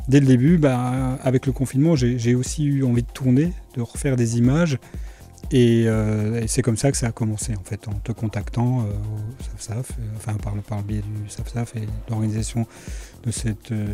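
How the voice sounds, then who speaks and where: A middle-aged man from France, answering interview questions in a hesitant way with many pauses. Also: mid-range voice, fairly even intonation, dark tone, soft background music. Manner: hesitant, many fillers and restarts. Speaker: guest, video producer.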